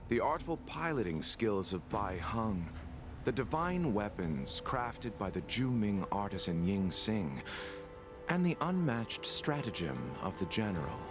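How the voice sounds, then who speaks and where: An actor playing a composed, perceptive young man in a game, speaking slowly and deliberately. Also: on the low side. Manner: slow, deliberate, knowing. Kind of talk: game voice acting. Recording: loud game music behind.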